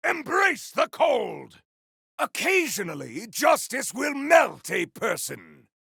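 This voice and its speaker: Battle-hungry video game warrior: forceful, gravelly, theatrical and declamatory, in a very dry recording. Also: male voice, very high voice, wildly swooping pitch, takes it slowly, dry recording, full character voice. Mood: battle fury. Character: proud, battle-hungry warrior with grandiose, formal speech. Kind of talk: video game combat barks.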